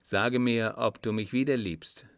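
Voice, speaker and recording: A man's voice: mid-range voice, steady tone, a little slow, clean tone, no room sound, studio quality, natural voice. A man voicing a passionate, possessive French suitor in an audiobook, urgent, pleading and hot-tempered. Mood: pleading, ardent. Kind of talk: audiobook character dialogue.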